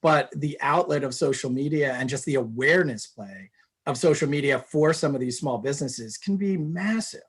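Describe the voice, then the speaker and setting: A man's voice: mid-range voice, moderate pace, slightly rough. A man from New Hampshire, a business executive answering interview questions in an earnest, upbeat way with many "you know"s. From New Hampshire, USA.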